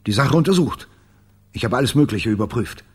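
An actor playing an evasive, self-justifying agency boss in a radio crime drama, measured and defensive. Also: male voice, mid-range voice, animated, breathy edge. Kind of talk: German radio play acting.

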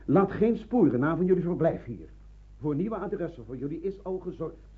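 Wartime pastor, clipped urgent orders, slightly high male voice, narrow tinny radio drama sound. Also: sing-song, normal speed. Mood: urgent, commanding. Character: commanding wartime village pastor hiding fugitives.

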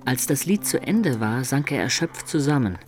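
Man playing a sly, measured storyteller. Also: medium pitch, sing-song, faint music behind, close to an everyday voice. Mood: reflective. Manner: measured, literary, wry. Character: sly, self-satisfied male storyteller and proud liar. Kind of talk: German radio play narration.

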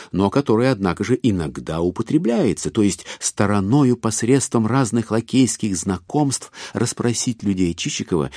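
A man's voice: fairly low pitch, mellow, dry recording, clean studio sound. A man narrating a literary audio play in a wry storytelling manner.

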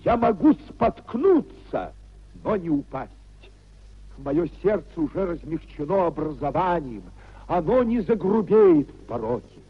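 Impassioned young idealist, high sweeping voice. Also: male voice, very animated, unhurried, husky, heavily acted. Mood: proud, wounded. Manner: declamatory, impassioned oratory. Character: idealistic young nephew, proud, fervently honest and anguished. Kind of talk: 1950s Soviet radio theatre declamation. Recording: muffled 1950s radio theatre recording.

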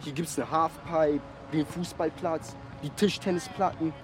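An actor playing an excitable, naive young man in a radio drama, talking in street slang. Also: a little high, unhurried, lightly acted. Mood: excitable, chatty. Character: excitable, naive young male hanger-on from the youth club. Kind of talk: slangy interview-style radio drama monologue.

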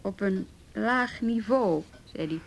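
Troubled loyal wife, gravelly breathy voice, halting, reticent, understated, radio drama. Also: female voice, mid-range voice. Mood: resigned, defensive. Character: guarded, troubled, loyal wife defending absent husband. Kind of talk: naturalistic Dutch radio play acting.

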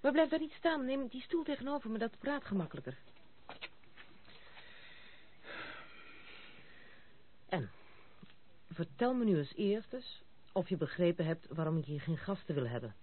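A woman's voice: medium pitch, big pitch swings, a little fast, a little hiss or hum, lightly acted. An actress playing a frank, disillusioned young woman with a wry, direct manner in a radio drama. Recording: tinny, band-limited old radio recording.

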